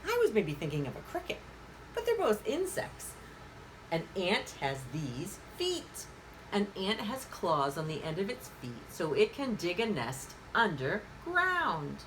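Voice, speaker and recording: A woman leads story time for young children with a very animated, sing-song delivery. She takes her time, putting questions to the listeners and pausing as if they reply. There is clear background noise on the recording. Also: mid-range voice, poor audio. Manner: sing-song, asks questions and pauses as if for answers. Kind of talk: interactive picture-book reading for young children.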